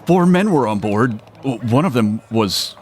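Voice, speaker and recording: A man's voice: mid-range voice, animated, unhurried, slight background noise, lightly acted. Man acting a frantic army private amid faint gunfire. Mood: shaken, stammering. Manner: urgent, shouting, stammering. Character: frantic, stammering young male army private. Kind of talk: war scene audio drama acting.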